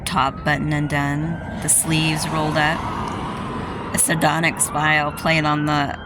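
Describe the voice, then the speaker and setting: A woman's voice: deep voice, completely flat delivery, unhurried, heavy background noise. A woman reading a romance novel aloud in an informal, amateur storytelling way, dropping in casual personal asides. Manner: informal, interrupts own reading to comment. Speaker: amateur audiobook reader and vlogger.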